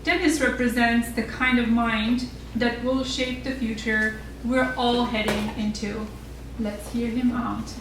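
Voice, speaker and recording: Female event host, fairly high voice, scripted stage introduction, very echoey distant recording. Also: flat delivery, a little slow. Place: stage in a reverberant room. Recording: echoey hall from far off, noisy.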